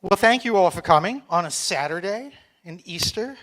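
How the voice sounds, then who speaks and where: A middle-aged American man from Texas, giving a joking, chatty conference talk on stage and speaking slowly for a foreign audience. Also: high-pitched, lively intonation, fairly bright tone, rough recording. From Texas, United States. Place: conference hall.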